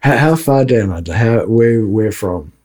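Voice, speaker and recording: A man's voice: fairly low pitch, animated, measured pace, a little mellow. A middle-aged man with an Australian accent, co-hosting a casual interview. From Melbourne, Australia. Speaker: podcast co-host.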